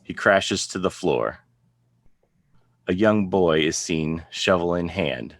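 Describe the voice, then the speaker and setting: A man's voice: low voice, slow, no echo. A man narrating a script, reading out scene headings and stage directions as a narrator. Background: guitar music.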